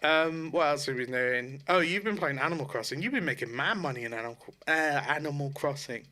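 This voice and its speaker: A man with a bright, somewhat high voice speaks as a podcast co-host. He chats informally about games, blunt and joking in his delivery. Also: fairly quick. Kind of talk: casual chat about video games.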